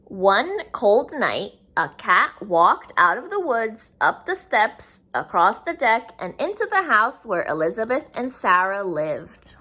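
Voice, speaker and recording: A woman's voice: mid-range voice, big pitch swings, takes it slowly, few pauses, pushed and bright. A woman reading a picture book aloud to young children, expressively and with simple explaining asides. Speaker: children's story reader.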